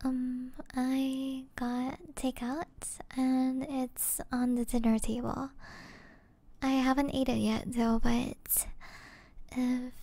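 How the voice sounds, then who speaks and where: A woman playing an affectionate, gently flustered young gamer girlfriend in a soft-spoken, hesitant ASMR roleplay, often slipping into breathy murmurs. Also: sits high, monotone, takes it slowly, no room sound, heavily acted. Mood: sweet, soft.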